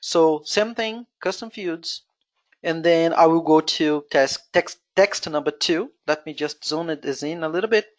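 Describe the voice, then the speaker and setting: A Brazilian-accented presenter whose first language is Portuguese, walking through a software screen-share tutorial step by step and thinking aloud as they go. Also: mid-range voice, a little slow. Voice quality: explains step by step, thinking aloud with frequent "you know". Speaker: webinar presenter.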